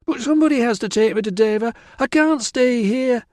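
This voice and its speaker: A man narrator plays a silly, excitable young girl in an audiobook. The voice is pushed high, gushing and whiny, and always insistent. The sound is close and dry. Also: a little fast, no echo. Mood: pleading. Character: silly, pleading, excitable teenage British girl. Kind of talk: audiobook character voice.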